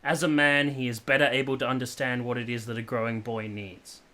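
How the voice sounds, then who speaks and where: A man's voice: mid-range voice, level tone, a little fast, pushed and bright, close to an everyday voice. An actor playing an ardent, stubborn young man in love in a period radio drama, earnest and pleading with formal phrasing. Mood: persuasive. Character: ardent, stubborn young male lover from a village.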